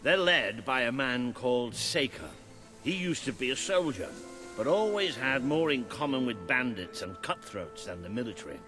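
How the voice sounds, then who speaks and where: Confident, practical rebel ally. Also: male voice, mid-range voice, fairly bright tone. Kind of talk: dramatic video game cutscene acting.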